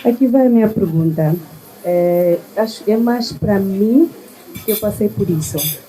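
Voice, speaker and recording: A woman's voice: medium pitch, a little slow, dark tone, poor audio. A woman in her thirties in an audience, putting an unscripted question to a panel. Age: 30s. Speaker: audience member asking a question.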